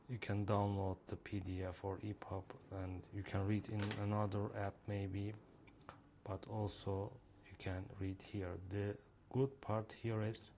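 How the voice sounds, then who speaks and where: Turkish guy demoing an app, lots of uh's, kinda low flat voice, bit of hiss behind. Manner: hesitant, many fillers. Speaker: app creator demonstrating his reading app. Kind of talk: screen-recorded software demo.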